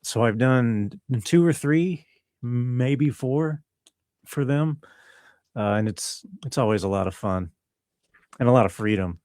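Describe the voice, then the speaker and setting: Relaxed male guest, dry sound. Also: mid-range voice, takes it slowly, long pauses, no echo. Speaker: composer guest. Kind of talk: casual interview chat.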